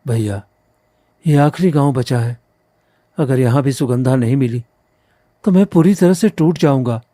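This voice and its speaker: Man playing a mellow, earnest younger brother. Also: medium pitch, measured pace, no room sound, close to an everyday voice. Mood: distressed. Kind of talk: Hindi cartoon story acting.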